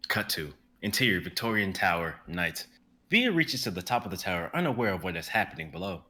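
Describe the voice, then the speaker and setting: A young man narrating the stage directions of a dramatic reading in a steady, descriptive way. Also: deep voice, brisk. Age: young adult.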